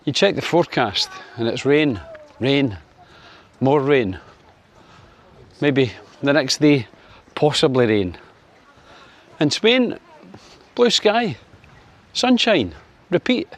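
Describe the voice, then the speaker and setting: A man's voice: sing-song, takes it slowly. Chatty Scottish man in his sixties. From Scotland. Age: 60s. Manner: chatty, wry, lots of fillers, lifts his pitch for sunny Spain. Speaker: vlogger. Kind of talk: casual opinion vlog to camera.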